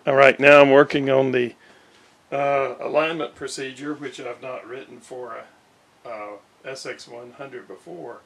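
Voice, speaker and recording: A man giving a casual hands-on demonstration, speaking haltingly as he thinks aloud in an indoor workshop. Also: medium pitch, steady tone, gravelly, a bit bright. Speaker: radio restorer, video host. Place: indoor home workshop at a desk. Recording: distant mic with background noise.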